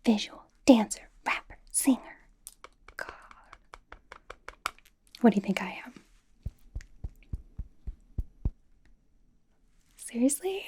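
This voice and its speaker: A woman playing a bubbly, nervous young K-pop idol in an ASMR roleplay, whispering softly in a chatty, rambling, reassuring way. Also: a little high. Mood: playfully gushing. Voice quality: soft, breathy whisper.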